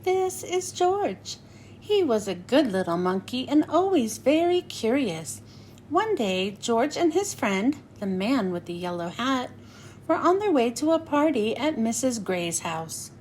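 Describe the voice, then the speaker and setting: A woman reading a picture book aloud for children, in an expressive, sing-song storytelling manner. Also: a little high, measured pace, slight background noise.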